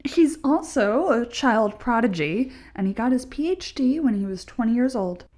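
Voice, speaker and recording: A young lab assistant gal, kinda husky and breathy, earnest n a bit nervous, radio play. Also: female voice, on the high side, animated, normal speed, a little mellow. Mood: wry, earnest. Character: earnest, anxious young female research assistant. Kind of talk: naturalistic audio play acting.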